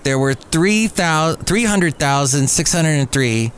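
A man's voice: on the high side, animated, takes it slowly. A middle-aged American man hosting a podcast alone, talking casually in a rambling, jokey way with self-mocking asides. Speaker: podcast host. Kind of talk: casual solo podcast monologue. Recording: clearly audible background noise.

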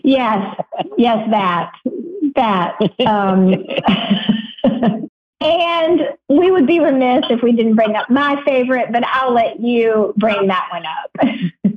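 A woman's voice: fairly high pitch, slow pace, a little hiss or hum. A woman co-hosting a radio show, bubbly and playful, laughing often as she introduces the episode. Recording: dull lo-fi audio.

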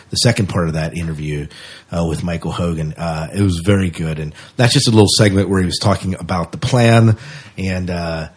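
A man's voice: sits low, slightly rough. A man co-hosting a podcast, chatting casually through entertainment news. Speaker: podcast co-host. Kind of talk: casual podcast chat about sci-fi news.

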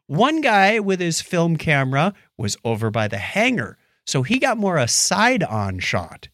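Man, podcast host, casual explanatory storytelling, animated intonation, dry sound. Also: fairly high pitch, unhurried, no echo. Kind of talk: casual chat.

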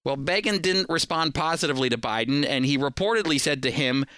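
A man's voice: on the high side, lively intonation, fairly quick, bright, forceful tone, clean tone, no room sound. A man hosting a program, giving scripted political commentary to listeners in an emphatic, explanatory manner.

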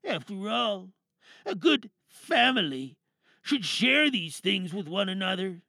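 A man's voice: high voice, big pitch swings, slow, vocal fry, bright, forceful tone, dry recording, clean studio sound, voice pushed down, full character voice. A man playing a grandiose, paranoid villain leader who addresses his followers in theatrical, menacing oratory for an audio drama monologue. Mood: suspicious, probing.

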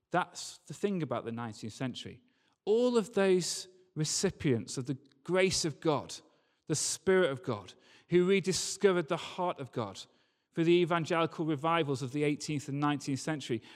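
Man preaching in a reverberant hall. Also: a little high. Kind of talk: sermon with storytelling. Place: large church hall, speaking to a congregation. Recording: live talk in a reverberant hall.

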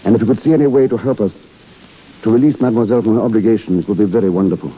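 A man's voice: on the low side, rapid-fire, husky. A man playing an earnest, polite fiancé in 1930s radio drama, speaking formally and with devotion. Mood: earnest pleading. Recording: muffled 1930s radio recording, music behind.

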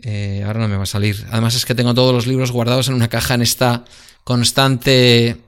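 A man's voice: medium pitch, little pitch movement, a little fast, clear, resonant tone. A middle-aged man in casual conversation, talking with many fillers and restarts. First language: Spanish. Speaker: guest. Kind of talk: casual conversation about books.

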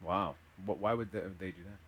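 A man with a somewhat low voice hosts a relaxed podcast conversation. He speaks casually and a little tentatively, thinking out loud as he puts his questions together. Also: a little fast, slight background noise. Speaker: podcast host.

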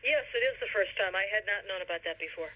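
A woman guest speaking in a measured, careful way with hesitant pauses, interviewed over the phone. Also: mid-range voice. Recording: muffled phone line.

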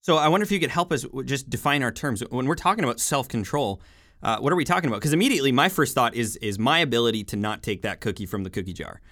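A man from western Canada hosting a podcast interview, casual and upbeat with quick affirmations. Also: mid-range voice, animated, a bit bright, no echo, studio quality. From Alberta, Canada. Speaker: podcast host.

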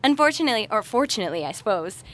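Young woman, medium pitch, podcast guest, conversational explaining. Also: big pitch swings, very fast, a bit bright. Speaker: podcast guest, researcher and party facilitator. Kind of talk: conversational interview.

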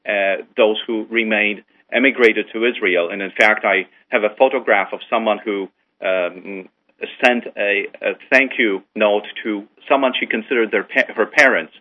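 A low-pitched man from Poland, speaking as a radio guest through a dull, lo-fi broadcast. He answers slowly and carefully and often pauses on a filler before going on. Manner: measured, hesitant with many uh fillers.

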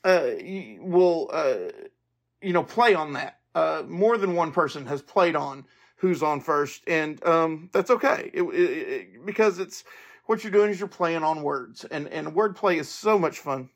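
A man's voice: fairly high pitch, animated, a little slow. A man hosting a podcast, speaking informally and earnestly in a monologue to listeners. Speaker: podcast host.

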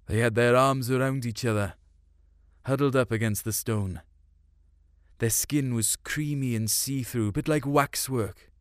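A man's voice: medium pitch, measured pace, clean tone, dry recording, studio quality. A man reading a first-person story in a colloquial, melancholic way. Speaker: short story narrator.

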